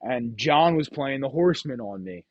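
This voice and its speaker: A man's voice: medium pitch, lively intonation, normal speed, no echo, highs cut off. A man chatting casually and telling gambling stories as the host of the show. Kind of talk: casual chat, storytelling about craps.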